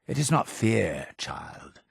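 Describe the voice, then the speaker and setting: A man's voice: lowish voice, very animated, slow, vocal fry, slightly rough, dry recording, clean studio sound, full character voice. An actor playing a paranoid, imperious male cult leader in a video game, with a theatrical, preachy and menacing delivery. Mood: bitter, resentful. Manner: theatrical, preachy, menacing, swinging from hushed to shouting. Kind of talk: dramatic video game voice acting.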